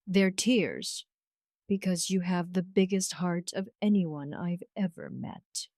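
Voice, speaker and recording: A woman voicing a gentle, devoted man in love in an audio romance story, tender and sincere. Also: lowish voice, steady tone, takes it slowly, no echo, studio quality. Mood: affectionate teasing.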